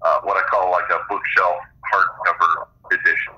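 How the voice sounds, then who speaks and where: A man being interviewed as an author, explaining things conversationally with halting pauses over a dull, band-limited line. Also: low voice, level tone, husky.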